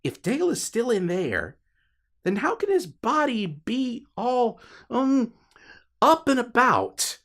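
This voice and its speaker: A man's voice: very high voice, huge pitch swings, takes it slowly, a bit bright, pitched above the performer's own voice, full character voice. A man voicing a panicky, incredulous man in an audiobook, exasperated and questioning. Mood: baffled. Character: Jonah, panicky, incredulous young man arguing with the devil.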